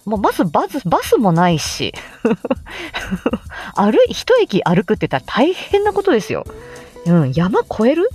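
A woman's voice: medium pitch, a little mellow. A woman rambling casually to her listeners as a stream host, giggly and self-deprecating. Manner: giggly, self-deprecating, laughs often at herself.

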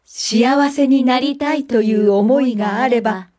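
A woman's voice: fairly high pitch, measured pace, close to an everyday voice. A woman acting in a Japanese radio drama as a cheeky, impulsive young woman who talks boldly and teasingly. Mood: solemn, hopeful. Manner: bold, teasing, expressive.

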